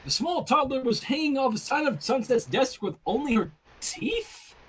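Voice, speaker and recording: A fan fiction reader narrating a story with casual, irreverent asides in an amused, expressive way. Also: high voice, moderate pace, husky.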